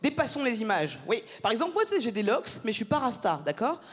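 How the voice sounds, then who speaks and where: A woman's voice: a little high, big pitch swings, quick pace. A woman from France performing a stand-up comedy set on a theatre stage, teasing the crowd with punchy banter. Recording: live gala, long hall reverb.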